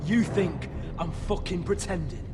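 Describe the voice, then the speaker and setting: An actor playing an arrogant, cruel, short-tempered young lord in a dramatic video game, with a sneering, domineering manner. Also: male voice, high voice, a little slow, vocal fry. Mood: menacing. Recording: music under the voice.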